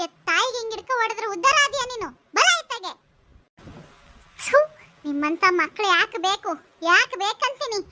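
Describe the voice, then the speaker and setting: A woman's voice: extremely high pitch, steady tone, a little fast, bright, forceful tone. A woman playing a bossy, loyal village neighbour in a rural melodrama, full of exclamations and scolding. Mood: indignant.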